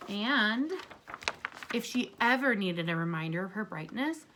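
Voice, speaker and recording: A woman with a medium-pitched voice reads a children's book aloud like a teacher. She speaks to young listeners in an encouraging manner and puts simple questions to them. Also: lively intonation, unhurried, noisy background.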